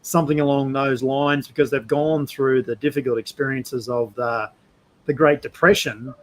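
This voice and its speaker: An Australian man hosting a casual podcast interview, talking in a halting, discursive and reflective way. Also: medium pitch, steady tone. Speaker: podcast host.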